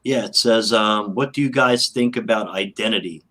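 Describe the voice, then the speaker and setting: A man's voice: fairly low pitch, normal speed, bright, forceful tone. A man co-hosting a casual radio talk show, agreeable and supportive with the guests.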